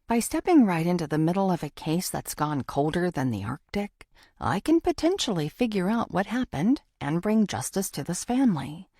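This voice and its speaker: A woman's voice: lowish voice, very animated, normal speed, mellow, no echo, clean studio sound. A woman narrating a first-person thriller audiobook from a script.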